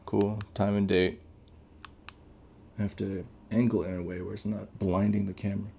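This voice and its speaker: Low-voiced, creaky casual man reviewing gadgets. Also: flat delivery, normal speed, warm tone. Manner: off-the-cuff, thinking aloud while reading out menus. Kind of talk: casual unboxing, first-impressions commentary.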